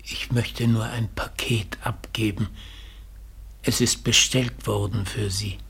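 Mysterious stranger, radio drama: very breathy, slow, softly menacing. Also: male voice, on the low side, steady tone, exaggerated voice. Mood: soft, insinuating. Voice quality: very husky, breathy. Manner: slow, soft, insinuating. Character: mysterious, quietly menacing man delivering a parcel. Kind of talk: German literary radio play acting.

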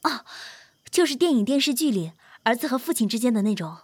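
A woman's voice: on the high side, sing-song, brisk, a little mellow, husky, no echo. A woman acting an eager, courteous, easily flustered celebrity assistant in an audio drama.

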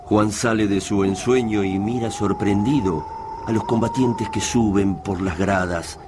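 A man's voice: fairly low pitch, measured pace. A man narrating a radio drama in a solemn, literary style. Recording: noisy ambient sound bed.

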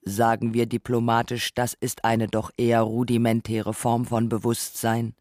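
A woman's voice: extremely low pitch, completely flat delivery, normal speed, darkish tone, no echo. Woman narrator in a dry studio. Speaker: documentary narrator. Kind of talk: scripted radio narration.

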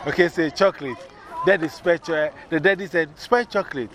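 A man's voice: on the high side, fairly quick. A man from Ghana, hosting a teasing street quiz and laughing often. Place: busy street outdoors. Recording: noisy outdoor crowd. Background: crowd chatter.

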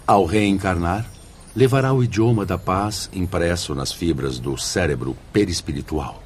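Teócrito, mentor espiritual de voz profundíssima. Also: male voice, deep voice, measured pace, slight background noise. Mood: instructive, solemn. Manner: solemn, paternal, declamatory. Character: Teócrito, wise, fatherly male spirit mentor and director. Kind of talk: radio drama acting. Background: background music.

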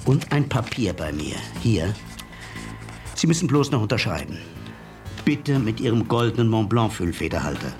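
An actor playing a cool, mocking male blackmailer in a radio play, smooth and unhurried. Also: on the low side, very animated, a little mellow, distant mic. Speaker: blackmailing hacker visitor. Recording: voice set back from mic, music behind.

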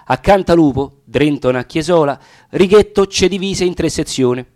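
A man with a Roman accent reciting narrative dialect verse theatrically, with deliberate, expressive pauses. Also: fairly high pitch. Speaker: actor reading poetry.

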